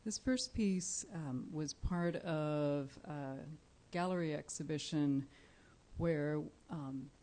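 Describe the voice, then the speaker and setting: A woman from Cuba, a Spanish speaker, giving a live poetry reading, measured and deliberate with soft pauses. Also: low-pitched. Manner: measured, deliberate, pausing often with soft fillers. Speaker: featured poet. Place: indoor live reading event.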